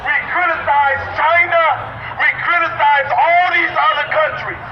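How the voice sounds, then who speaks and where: A man's voice: extremely high pitch, steady tone, a little slow, crowd noise. An American man giving an emphatic, repetitive, impromptu street rally speech as an activist, through a bullhorn. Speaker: activist speaking to a crowd. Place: outdoors on a city street with traffic. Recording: distant bullhorn-like voice, dull, echoey, noisy street.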